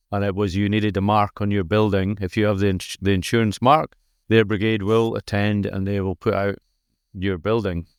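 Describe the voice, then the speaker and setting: A man's voice: fairly low pitch, clear, resonant tone, no room sound, clean studio sound. A man with a Scottish accent, a podcast guest explaining history in a conversational way with many fillers. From Scotland. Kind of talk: conversational storytelling. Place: conference exhibition booth.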